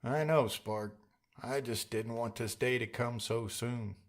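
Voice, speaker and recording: Man acting a gentle, wistful middle-aged dad. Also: mid-range voice, fairly even intonation, slow, lightly acted. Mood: wistful, tender. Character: tender, wistful father of a graduating daughter. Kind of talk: audiobook character dialogue. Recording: music under the voice.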